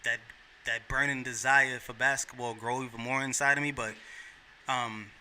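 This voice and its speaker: A man's voice: mid-range voice, fairly even intonation, normal speed, pushed and bright, slight background noise. A man in his thirties from New York, a podcast guest talking in an earnest, heartfelt and slangy way. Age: 30s. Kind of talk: casual chat.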